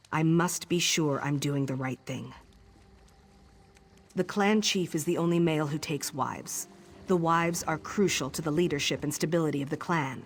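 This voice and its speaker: An actress playing a young orc chief's daughter in a video game, earnest and measured, torn between duty and longing. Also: female voice, deep voice, breathy edge. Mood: conflicted. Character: young orc chief's daughter, dutiful but yearning for freedom. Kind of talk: video game dialogue acting.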